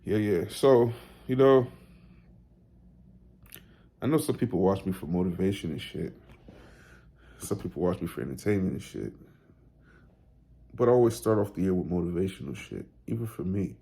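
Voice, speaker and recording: A man's voice: deep voice, lively intonation, moderate pace, gravelly, long pauses, warm tone, slightly rough. A man in his thirties making a casual motivational vlog, talking in a loose, repetitive, slangy way with lots of "you know". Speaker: weight loss vlogger.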